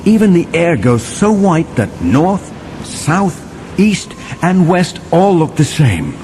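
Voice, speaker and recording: An animated settler storyteller. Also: male voice, a little high, a little hiss or hum. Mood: dramatic, awed. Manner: animated, vivid storytelling with dramatic pauses. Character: male settler storyteller recounting a winter adventure to John. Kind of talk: dramatic children's story narration.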